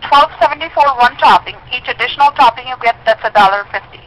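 A woman's voice: high-pitched, fast talker, a bit bright, a little hiss or hum, low-quality recording. A woman working as a pizza restaurant phone clerk, firm and impatient with a caller. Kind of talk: customer service phone call.

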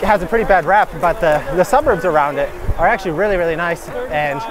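A man's voice: sits high, quick pace, a crowd in the background. An American man talking casually and enthusiastically in an easygoing, informal vlog style while riding a bike outdoors. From Michigan, United States. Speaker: cycling vlogger. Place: outdoors on a gravel country road, riding a bike.

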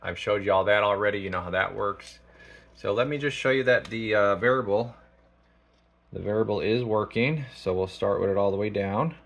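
A man giving a casual product demonstration as a technician, thinking aloud in a halting, informal way. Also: lowish voice, gravelly, a bit bright. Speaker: radio technician. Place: small indoor electronics workshop.

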